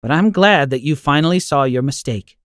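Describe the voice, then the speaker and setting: A man voicing a kind, gentle elderly grandmother who speaks softly and soothingly in an animated story. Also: a little high, normal speed, slight background noise. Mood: reassuring. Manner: soft, soothing and forgiving.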